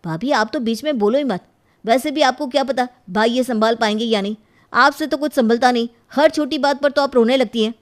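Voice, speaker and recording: A woman's voice: a little high, a little fast, close to an everyday voice. A woman voicing a dutiful, stubborn brother in a family moral cartoon, speaking in an insistent, earnest way. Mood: irritated. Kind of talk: Hindi moral cartoon story acting.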